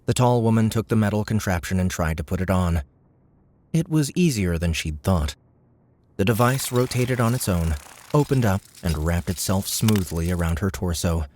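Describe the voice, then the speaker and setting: A man's voice: sits low, darkish tone. A man narrating scripted fiction as an audiobook narrator.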